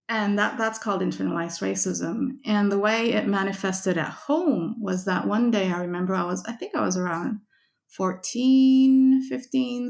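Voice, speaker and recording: A woman from Indonesia, a researcher and author, speaking as an interview guest in a thoughtful, conversational way. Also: on the low side. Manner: thoughtful, conversational, many "like" fillers. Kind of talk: reflective interview storytelling.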